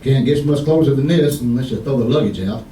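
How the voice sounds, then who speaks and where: An actor playing an exhausted, grouchy husband in old-time radio comedy, grumbling and whining out sarcastic wisecracks. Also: male voice, mid-range voice, fairly quick, dark tone, distant mic, natural voice. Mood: weary sarcasm. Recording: echoey, noisy old radio, off mic.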